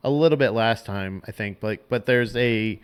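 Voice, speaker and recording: A man's voice: fairly low pitch, normal speed, clean tone. A man co-hosting a podcast, explaining at length in loose, profane casual chat with many restarts. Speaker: podcast co-host.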